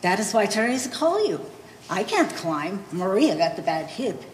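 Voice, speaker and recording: A woman's voice: mid-range voice, big pitch swings, moderate pace, close to an everyday voice. A woman playing a blunt old mother who speaks broken English with dropped articles in a live one-act stage play. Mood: insistent. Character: blunt old immigrant mother of a grown son. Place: art gallery stage reading. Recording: roomy echoing hall, faint noise.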